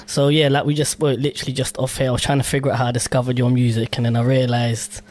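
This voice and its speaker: Fast-talking, slangy radio host. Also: mid-range voice, little pitch movement, darkish tone. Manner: informal slangy, interjecting affirmations. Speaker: radio show host and music artist. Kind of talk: casual radio interview.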